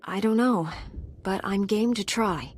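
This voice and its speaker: Composed young heroine for a fantasy game, mid-range voice, direct, principled, calm. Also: female voice, medium pitch, a little slow, slightly rough. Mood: helpful. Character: composed, principled young female Jedi apprentice. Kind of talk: video game dialogue acting.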